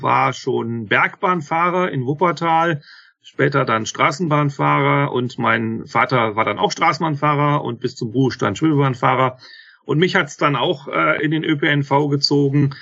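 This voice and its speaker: A man in his fifties, guest on an interview podcast, talking haltingly with many filler sounds and self-corrections. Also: medium pitch, gravelly, a bit bright, highs cut off. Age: 50s. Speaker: interview guest, rail manager. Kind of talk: casual spoken interview.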